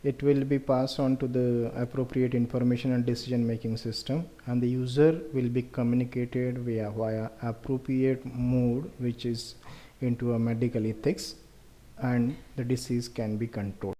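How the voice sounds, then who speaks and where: A man with an Indian accent presenting a research project in an explanatory way, with halting, deliberate phrasing. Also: medium pitch, level tone, dark tone, poor audio.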